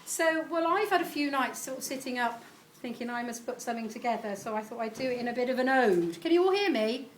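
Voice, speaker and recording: A middle-aged woman reads a homemade rhyming tribute in a high, very lively voice. She takes her time over each line, addressing guests at a live event. There is clear background noise in the recording. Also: fast talker. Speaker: family member reading a tribute poem at a party. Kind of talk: reading a homemade rhyming poem aloud to guests.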